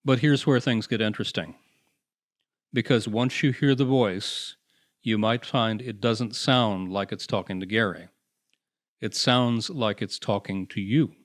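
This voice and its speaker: A man delivering scripted paranormal mystery narration with a dramatic, deliberate build-up. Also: on the low side, fairly even intonation, dry recording, studio quality. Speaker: narrator, host.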